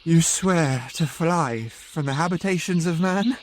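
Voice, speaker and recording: An actor playing a tormented, guilt-ridden young scientist in an audio drama, anguished and theatrical. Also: male voice, on the high side, measured pace, a little hiss or hum, lightly acted. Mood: grave.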